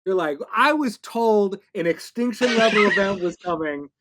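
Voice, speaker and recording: A man with a somewhat high voice hosts an interview. He talks casually, with a wry, joking manner. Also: expressive, normal speed, a bit bright. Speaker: podcast interviewer, host.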